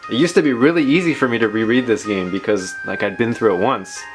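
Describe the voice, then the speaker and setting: A man's voice: mid-range voice, fast. A man hosting a casual tech and gaming vlog, talking informally with slangy, self-deprecating commentary. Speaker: video host. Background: background music.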